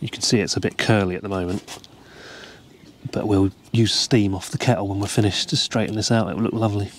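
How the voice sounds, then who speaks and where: A man, calmly explaining step by step how to tie a fishing rig, recorded outdoors. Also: lowish voice, fairly quick, slight background noise. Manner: relaxed, explains each step while tying. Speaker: carp fishing angler demonstrating a rig. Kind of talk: casual step-by-step tutorial. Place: outdoors by the water, seated over a tackle box.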